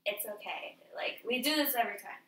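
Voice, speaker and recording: A woman's voice: on the high side, brisk, sharp tone. A woman hosting a casual homemade talk show and interviewing a guest, recorded from a distance in a roomy space.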